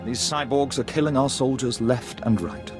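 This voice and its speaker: An actor playing a refined, coldly calculating Imperial lieutenant in a video game, precise and smug in delivery. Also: male voice, mid-range voice, moderate pace, close to an everyday voice. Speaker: military officer character. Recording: loud music behind the voice. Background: music.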